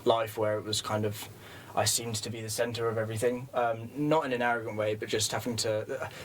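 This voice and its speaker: A man's voice: on the low side, little pitch movement, brisk, slight background noise, rough recording. A young man, a musician guest who talks casually in a rambling, self-deprecating way and laughs easily. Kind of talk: casual interview chat.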